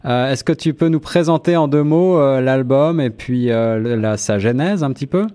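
A male radio host interviewing a singer, friendly but halting, with many fillers. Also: medium pitch, dark tone, clear, resonant tone.

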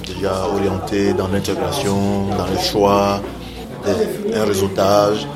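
A man from Ivory Coast speaks in a measured way, his voice low and level. His delivery is thoughtful. Loud music plays behind him. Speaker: newcomer participant.

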